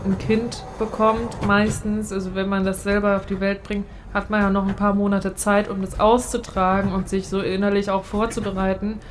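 A woman's voice: mid-range voice, level tone, normal speed, few pauses, slight background noise. A woman in her late twenties co-hosting a casual podcast, talking in a chatty, reflective way and giggling often. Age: late 20s. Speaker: podcast co-host. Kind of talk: casual chat.

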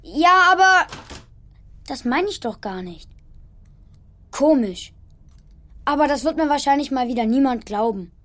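A little boy in a children's audio drama. The voice is high and very lively in its melody, with an excitable temper. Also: a little slow, pauses often. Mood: frustrated, puzzled. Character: excitable little boy playing with toy figures.

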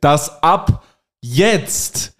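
Comic podcast sketch: impatient, insulting father, big loud declaiming voice, condescending acted by a man. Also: fairly high pitch, animated, very slow. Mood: grand announcing. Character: gruff, impatient, mean father talking down to child.

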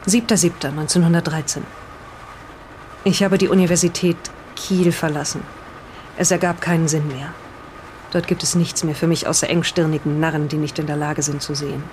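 Woman as halting diary reader over background noise. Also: low voice, fairly even intonation, a little mellow, lightly acted. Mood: absorbed reading. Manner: halting, breaks off to comment on the reading. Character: hesitant, bewildered woman reading a dead researcher's diary aloud. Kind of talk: in-character audio drama, reading a diary aloud.

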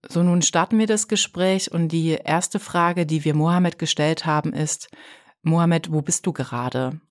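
A young adult woman hosting a podcast, reading a measured, carefully scripted introduction and asking interview questions. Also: low-pitched. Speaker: podcast host.

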